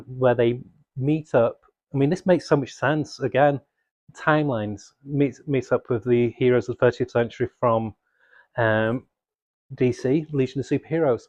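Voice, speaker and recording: A man's voice: mid-range voice, darkish tone, no room sound. A man presenting unscripted fan commentary to viewers in a casual, rambling, enthusiastic way. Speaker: comics video presenter.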